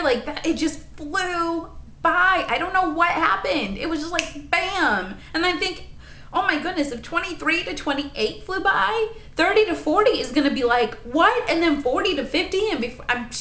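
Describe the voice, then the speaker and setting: A woman in her late twenties chatting in a rambling, animated way for her vlog, full of asides and "like.". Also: medium pitch, roomy sound. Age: 20s. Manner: rambling, animated, lots of "like" and "honey". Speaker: vlogger.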